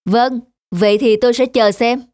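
A man's voice: very high-pitched, fairly even intonation, normal speed, a little mellow, smooth, dry recording, studio quality. A man voicing a sceptical, proud young scientist in a cartoon, formal in manner. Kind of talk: animated drama dubbing. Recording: music under the voice.

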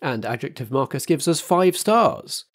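A man hosting as a flamboyant theatrical compere. He reads announcements in a camp, luvvie style. His voice sits at an ordinary middle pitch. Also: sing-song, measured pace. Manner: camp, luvvie stage host. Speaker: podcast compere.

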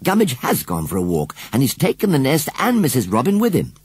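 A man voicing an eager, excitable young girl who speaks insistently. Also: mid-range voice, fairly quick, husky, dry recording, voice pushed up, full character voice. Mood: excited. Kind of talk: storybook reading.

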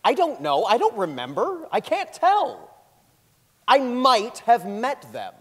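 A man's voice: very high voice, wildly swooping pitch, takes it slowly, husky, heavily acted. A young man playing an evasive, stubborn school official on the witness stand in a stage reading, answering curtly. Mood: defensive, flustered. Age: young adult. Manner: curt, stubborn denials. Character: defensive, evasive male school board president on the witness stand. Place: large theatre stage, indoors. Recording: echoey theatre hall.

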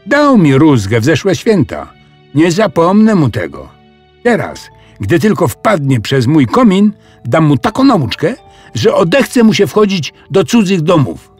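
A man's voice: fairly high pitch, wildly swooping pitch, measured pace, darkish tone, slightly rough, soft background music, voice pushed up, full character voice. A man voicing a rude, vengeful schoolboy bully with a threatening manner in a children's audio story. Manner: combative, threatening.

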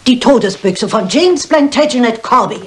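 An actress playing a sharp-witted, stubborn older lady detective in a German crime radio play, speaking briskly and precisely. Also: on the high side, animated, slight background noise, close to an everyday voice. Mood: intrigued, deliberate.

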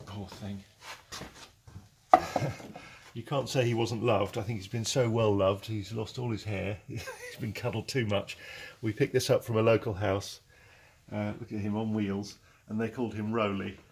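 Brief: English auctioneer, 40s, gravelly, chuckling walk-through, noisy room on a handheld mic. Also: male voice, fairly low pitch. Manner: chatty and enthusiastic, chuckling often. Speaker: auctioneer giving a saleroom tour. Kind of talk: casual walk-through commentary. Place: large cluttered indoor auction saleroom. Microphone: handheld camera following him. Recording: noisy saleroom, walking camera mic.

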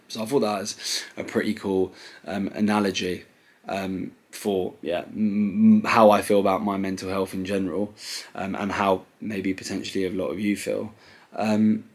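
A young British man in his twenties vlogging casually from his living room sofa, relaxed and rambling with many ums. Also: lowish voice. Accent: British English. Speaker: clothing brand owner vlogging. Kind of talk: casual to-camera vlog. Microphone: camera close at sofa level.

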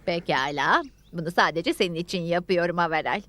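A woman's voice: lowish voice, a little fast, fairly bright tone, close to an everyday voice. Woman as a proper, stubborn cartoon housewife. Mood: softened, grateful. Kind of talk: cartoon dubbing.

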